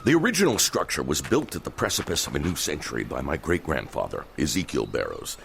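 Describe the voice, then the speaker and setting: Pompous old theater owner, super deep gravelly voice, proud and stately, audio drama. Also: male voice, sing-song, fast, a bit bright, a little hiss or hum, heavily acted.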